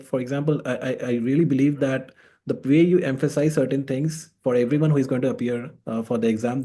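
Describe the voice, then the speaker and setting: Mellow-voiced man interviewing and advising. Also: mid-range voice, husky. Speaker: host, exam course mentor. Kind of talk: conversational interview, giving exam advice.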